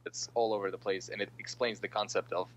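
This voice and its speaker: A man's voice: on the low side, steady tone, fast talker. A man in his early twenties from Russia who speaks with an American accent, answering interview questions casually and hesitantly, thinking as he goes. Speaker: interviewee, political science master's student. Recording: dull lo-fi sound with faint hum.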